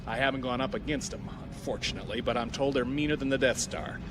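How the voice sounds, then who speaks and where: A man playing a cocky, gruff military pilot leader in a science-fiction audio drama, briefing in a brisk, wry, no-nonsense way. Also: mid-range voice, music in the background, natural voice. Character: cocky, gruff roguish general drilling pilot trainees.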